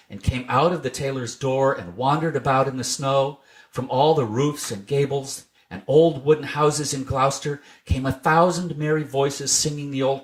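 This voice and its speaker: A man reads a children's tale aloud as a storybook narrator. His pitch sits in the middle and moves widely, giving the scripted reading an animated, expressive shape. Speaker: storybook reader.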